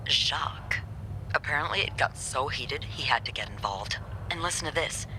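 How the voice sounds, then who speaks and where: An actress playing a wry, warm, teasing young hotel receptionist in a video game, with an expressive, conspiratorial way of talking. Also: female voice, deep voice, moderate pace, noisy background. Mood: gossipy, playful. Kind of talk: naturalistic video game acting.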